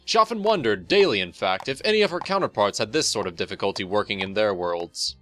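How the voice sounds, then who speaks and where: A man giving an expressive scripted narration of a story, as in an audiobook. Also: fairly low pitch, fast. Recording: music playing behind the voice.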